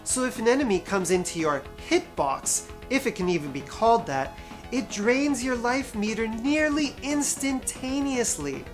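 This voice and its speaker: A woman's voice: fairly high pitch, expressive, moderate pace, faint music behind. A woman narrating a scripted video game review as its host, with wry, sarcastic asides and emphatic stresses.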